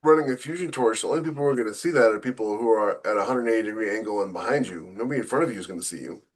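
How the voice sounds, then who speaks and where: A man's voice: fairly low pitch, rapid-fire. A man co-hosting a podcast as a science fiction author, explaining things casually and peppering his talk with "you know.".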